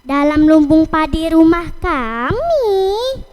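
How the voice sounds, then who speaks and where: A woman's voice: very high voice, sing-song, slow pace, a little mellow, a little hiss or hum, heavily acted. A woman storyteller voicing a spoiled, fussy young village girl in a children's folktale. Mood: surprised, questioning.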